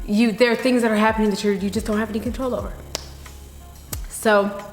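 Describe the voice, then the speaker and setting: A middle-aged woman vlogger chatting candidly to camera, a little nasal from a slight cold, rambling and reflective. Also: medium pitch. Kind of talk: casual reflective chat to camera. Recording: echoey room with long reverb.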